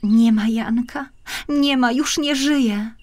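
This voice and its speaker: A woman's voice: a little high, slow pace, a little mellow, pitched above the performer's own voice. A woman voicing a small, trusting, determined girl in a storybook, earnest and childlike. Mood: sorrowful. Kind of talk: storybook character voice.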